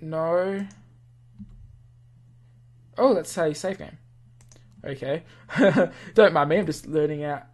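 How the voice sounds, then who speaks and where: A gamer reading a visual novel aloud with casual, easily amused commentary, thinking out loud. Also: mid-range voice, slow, long pauses. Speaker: gamer, channel host. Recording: music under the voice.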